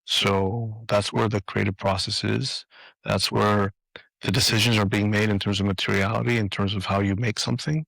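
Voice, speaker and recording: Low-voiced man from Greece, guest. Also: flat delivery, moderate pace, gravelly, fairly bright tone, no echo, low-quality recording. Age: 50s. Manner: reflective, with restarts and fillers. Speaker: guest, design leader. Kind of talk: interview answers. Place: apartment.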